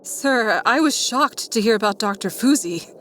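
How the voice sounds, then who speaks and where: A woman's voice: on the high side, lively intonation, normal speed, fairly bright tone, a little hiss or hum. A woman playing a dutiful, uneasy scientist in an audio drama, speaking politely and seriously to a superior. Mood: uneasy, deferential. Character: dutiful, uneasy female scientist reporting to her boss.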